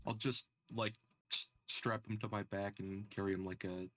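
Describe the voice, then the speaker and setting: A man with a fairly low voice talks casually as a player at a tabletop game. His recording is dry, with no room sound. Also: flat delivery, normal speed, fairly bright tone, no echo.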